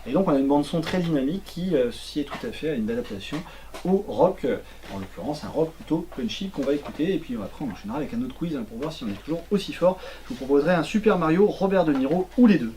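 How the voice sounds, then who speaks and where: Fast-talking man hosting a radio show. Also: on the high side, expressive, dark tone, a little hiss or hum. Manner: fast, chatty, joking. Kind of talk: casual radio chat.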